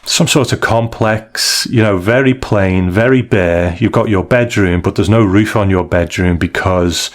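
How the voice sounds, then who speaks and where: A man's voice: low-pitched, measured pace, hardly any pauses. A man co-hosting a casual discussion of comic books, explaining and recapping in a loose, conversational way. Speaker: podcast co-host.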